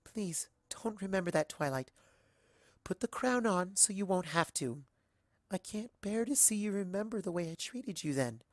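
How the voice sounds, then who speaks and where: A man's voice: high voice, lively intonation, normal speed, no echo, clean studio sound, voice pushed up. A man voicing a tender, clinging female pony lover who pleads in a dramatic story reading. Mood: tearful pleading. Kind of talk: dramatic fan fiction reading.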